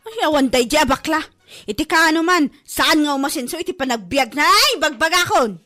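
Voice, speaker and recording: A woman acts a shameless, lazy Filipino debtor with a very high voice and lively swoops. The character whines and gossips as an aggrieved party in a comedy drama. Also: fairly quick, faint music behind. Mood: indignant griping. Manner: whining, complaining, gossipy. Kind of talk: comedy radio-drama acting.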